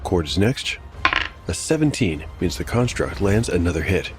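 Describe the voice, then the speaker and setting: A man's voice: sits low, very animated, moderate pace, heavy background noise. A man narrating a solo tabletop game as game master, moving between dramatic storytelling and wry asides about the dice. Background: background music.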